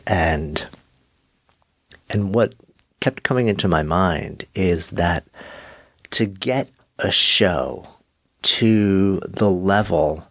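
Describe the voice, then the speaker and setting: A middle-aged man hosting his own podcast, talking reflectively with a slightly nasal voice from a cold and pausing often. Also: low voice, fairly bright tone, no echo, clean studio sound. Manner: thoughtful, measured, with frequent pauses and fillers. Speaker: podcast host. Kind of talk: solo reflective podcast monologue.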